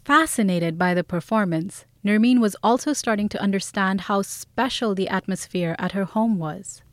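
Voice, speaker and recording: A woman's voice: medium pitch, moderate pace, no room sound. Woman narrating a documentary, dry sound. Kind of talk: scripted audio documentary narration.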